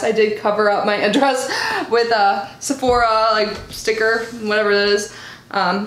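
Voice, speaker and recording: A young woman with a slightly high voice talks through an unboxing in a relaxed, rambling way. Her voice is noticeably nasal from allergies. The room is echoey. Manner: chatty and bubbly, lots of likes and ums. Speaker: unboxing vlogger. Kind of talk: casual unboxing chat.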